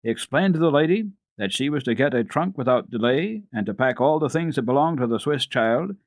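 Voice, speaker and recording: A man's voice: medium pitch, animated, a little fast, no room sound. A man reading classic children's literature aloud as a radio storyteller, in dramatic scripted narration. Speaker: host and reader of a children's radio program.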